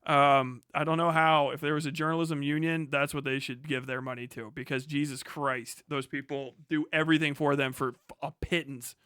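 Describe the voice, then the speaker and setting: A man with a fairly high, very bright and forceful voice, recorded dry and close. He talks like a live streamer going over the news, casual and opinionated, with blunt, emphatic delivery and plenty of swearing. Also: fairly even intonation, moderate pace, hardly any pauses, clear, resonant tone, dry recording. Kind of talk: casual opinionated livestream commentary, reading news aloud.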